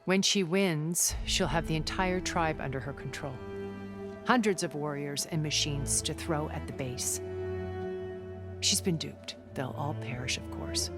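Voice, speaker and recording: An actress playing a composed, cultured, ancient woman in a video game drama, a secretive schemer who speaks in deliberate, refined, persuasive phrases. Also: sits low. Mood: conspiratorial, assured.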